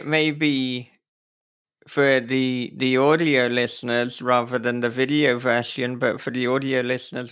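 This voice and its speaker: A man with a smooth, clear voice hosts a relaxed, casual interview. He speaks slowly at a middling pitch, circling and rephrasing as he frames his thoughts. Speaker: podcast host and author interviewing a guest.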